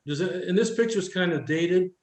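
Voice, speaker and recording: An older man with a slightly high voice speaks in a roomy, echoing space. He presents like an informal instructor, explaining step by step and quizzing his listeners as he goes. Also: moderate pace, noisy background. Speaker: presenter, retired air traffic controller. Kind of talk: career workshop presentation, explaining with questions to the audience. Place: indoor room with a live audience.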